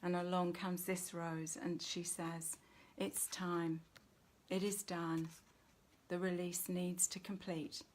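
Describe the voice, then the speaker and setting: A woman giving an unscripted spiritual oracle reading in gentle, deliberate phrases with long pauses, sounding low in energy. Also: flat delivery, slight background noise. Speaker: spiritual reader and host.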